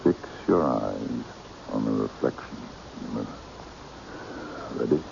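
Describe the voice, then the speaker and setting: Very deep, gravelly, mysterious man. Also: expressive, unhurried, exaggerated voice. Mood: intent, hypnotic. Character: mysterious eccentric man with cat, controlled, commanding, courtly. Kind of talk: old-time radio mystery acting. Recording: dull, noisy old radio recording.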